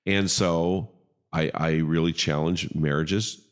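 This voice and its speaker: Very deep-voiced middle-aged male pastor. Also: fairly even intonation, slow pace. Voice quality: big voice. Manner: emphatic, with frequent "okay?" checks. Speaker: pastor and podcast host. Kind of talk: casual podcast conversation.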